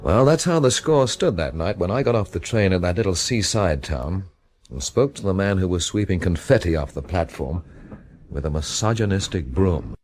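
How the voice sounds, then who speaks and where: An actor playing a sardonic, wisecracking stranger in town, narrating and talking in a dry, wry way in a radio drama. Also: male voice, low voice, animated, normal speed, a little mellow. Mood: wry, sombre.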